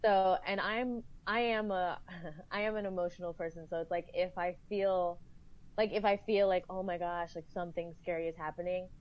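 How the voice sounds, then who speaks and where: A woman's voice: lowish voice, fairly even intonation, normal speed. A woman speaking casually as a guest actor in a discussion. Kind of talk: casual chat. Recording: slightly dull lo-fi audio.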